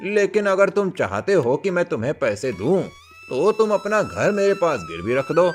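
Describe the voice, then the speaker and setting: A man acts a cold moneylending headman in a cartoon tale. He is smug and calculating, bossing with lively pitch movement. Light music plays underneath. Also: moderate pace. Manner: domineering, calculating. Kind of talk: Hindi moral cartoon story dubbing.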